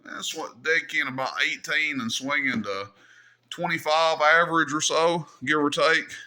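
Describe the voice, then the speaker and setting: A man's voice: a little high, steady tone, moderate pace, sharp tone. A man casually demonstrating equipment as a hobby host, talking in a folksy, easygoing way as he thinks aloud. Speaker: host of a CB radio hobby channel.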